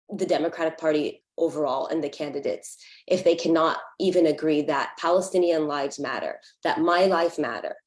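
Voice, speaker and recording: A woman's voice: fairly low pitch, roomy sound. An American woman giving an impassioned, indignant public comment with emphatic appeals. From San Francisco Bay Area, USA.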